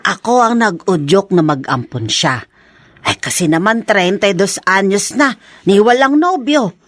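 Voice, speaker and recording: A woman's voice: medium pitch, expressive, a little slow, dry recording. An actress with a Filipino accent, playing a fond, talkative middle-aged mother in a radio drama. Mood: coaxing.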